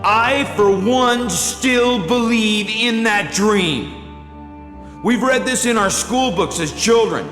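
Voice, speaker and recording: Video game rebel hero, earnest, slightly high voice, measured rallying address in long reverb. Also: male voice, lively intonation, fairly bright tone, exaggerated voice. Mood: hopeful, rousing. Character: earnest American freedom-fighter leader addressing the nation. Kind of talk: solemn rallying speech. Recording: echoing reverb, music behind.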